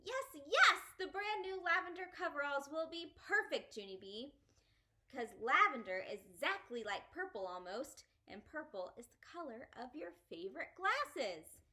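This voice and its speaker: A woman's voice: high voice, very animated, measured pace, sharp tone, voice pushed up, heavily acted. A woman voicing an agreeable, enthusiastic male stuffed elephant from a storybook, speaking in an earnest, emphatic way. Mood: delighted.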